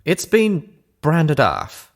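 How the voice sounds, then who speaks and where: A man's voice: a little high, huge pitch swings, drawn-out words, pitched above the performer's own voice, full character voice. A man voicing a folksy male pegasus pony tinkerer. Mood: reluctant, rueful. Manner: folksy drawling speech with country slang.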